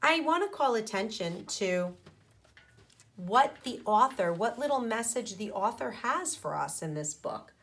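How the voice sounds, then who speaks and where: A woman teacher reading a picture book aloud to children, speaking deliberately with pauses between phrases and putting questions to her pupils. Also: a little high, very animated, a bit bright. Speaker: primary school teacher.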